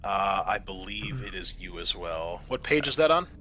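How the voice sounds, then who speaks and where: Deep, bright-voiced man as game master. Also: animated, normal speed. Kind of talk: explaining rules and narrating a tabletop roleplaying game.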